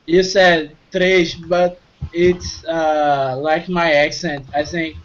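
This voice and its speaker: A Brazilian man with a Portuguese accent, a student on a video call who speaks English slowly and hesitantly, with many fillers. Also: a little high, flat delivery. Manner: slow, hesitant, filler-heavy. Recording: dull call audio with faint noise.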